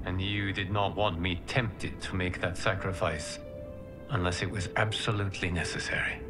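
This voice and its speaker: An actor playing a noble, earnest fire god and protector in a video game, with formal, deliberate speech. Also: male voice, low voice, steady tone, over music, natural voice. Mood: grateful. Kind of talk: video game cutscene acting.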